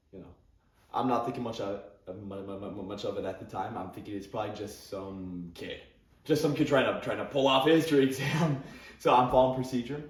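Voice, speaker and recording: A man's voice: medium pitch, lightly acted. A young man playing an awkward, grieving male English teacher who stammers, repeats his words and gives nervous little laughs, in a dramatic monologue. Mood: somber. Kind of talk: competitive dramatic interpretation monologue. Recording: roomy sound from a distant camera.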